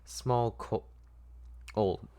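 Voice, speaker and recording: A man's voice: drawn-out words, dark tone. A man narrating a scripted story informally at a table read, stumbling over the script as he goes.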